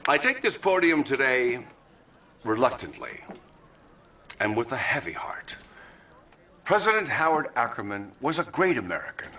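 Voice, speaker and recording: An actor playing a smug, cynical politician who gives a podium speech, swinging between loud proclamations and hushed asides. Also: male voice, mid-range voice, sing-song, normal speed, pauses often. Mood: oratorical. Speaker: vice president.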